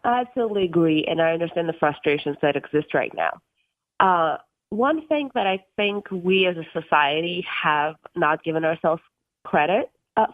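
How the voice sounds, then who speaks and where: Woman in her twenties, from Russia, radio guest, lowish voice, tinny phone line, earnest with frequent ums. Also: no echo. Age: 20s. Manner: earnest, many ums and uhs, occasional laughs. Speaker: guest from a tech policy group.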